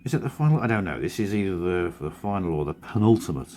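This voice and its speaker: A man with a croaky, husky voice from a cold, talking in a rambling, drowsy, self-correcting way as a cartoonist vlogger. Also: deep voice, lively intonation, fast, dark tone, a little hiss or hum. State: hoarse from a cold. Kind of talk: casual studio vlog talk.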